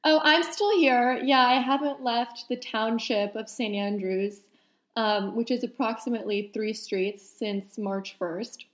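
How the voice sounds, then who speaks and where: A woman's voice: a little high, a little slow. A young adult woman from New York City, chatting playfully and wryly as a podcast co-host. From New York City, USA. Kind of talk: casual chat.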